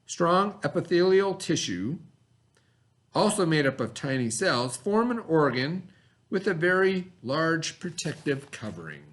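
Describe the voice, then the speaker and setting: Male teacher, slightly high-pitched, instructional read-aloud for young pupils, with explanatory asides. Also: sing-song. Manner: instructional, pausing to pose and answer questions. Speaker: teacher reading a lesson aloud. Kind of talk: read-aloud science lesson for children with asides and questions.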